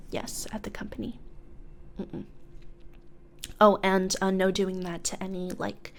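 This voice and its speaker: A female demon recruiter, played by a woman, murmurs in an ASMR roleplay at a very unhurried pace. Her voice is medium-pitched, soft and breathy, often a whisper, right up at the microphone. She is measured and corporate, politely sinister, with small hesitations. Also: a little hiss or hum, natural voice. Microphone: close studio condenser microphone.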